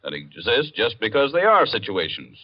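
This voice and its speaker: A man's voice: lowish voice, wildly swooping pitch, moderate pace. An actor playing a polite, earnest clubman who argues his point in 1940s radio drama style. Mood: earnest, arguing. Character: polite but argumentative clubman debating with friends. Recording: dull vintage radio recording.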